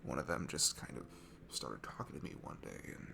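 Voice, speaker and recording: Man acting a shy guy with very creaky low voice. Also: animated, fairly quick, background noise, full character voice. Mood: shy, sheepish. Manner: hesitant, stammering, self-deprecating, breaking into hushed breathy asides. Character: shy, nervous, sweet young man alone at a bar. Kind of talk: intimate ASMR roleplay audio acting. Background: music.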